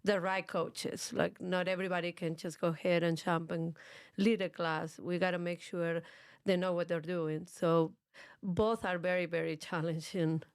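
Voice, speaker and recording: A woman's voice: lowish voice, level tone, normal speed, smooth, dry recording, studio quality. A woman from Argentina with a strong Argentine Spanish accent, Spanish as her first language, chatting casually as an interview guest and often repeating words. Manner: repeats words, laughs at herself. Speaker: guest, gym coach.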